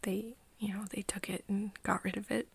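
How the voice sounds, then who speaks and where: A woman acting an earnest, wistful friend in an intimate ASMR roleplay, speaking softly and haltingly, often near a whisper. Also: medium pitch, level tone. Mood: hurt, frustrated. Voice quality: soft, breathy, often whispery. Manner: hesitant, halting, pausing often.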